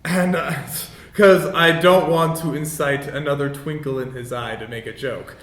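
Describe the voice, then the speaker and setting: A man chatting casually and haltingly as a player in a tabletop game. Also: fairly even intonation, a bit bright. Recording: roomy echo, rumbling background noise.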